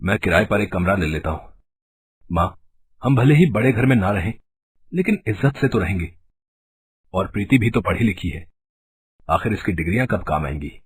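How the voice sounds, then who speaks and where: Man as an earnest son, lively pitch, noisy recording. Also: normal speed, pauses often, close to an everyday voice. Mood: resolute. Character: earnest adult sons of a family, concerned brothers. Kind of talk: acted Hindi story dialogue.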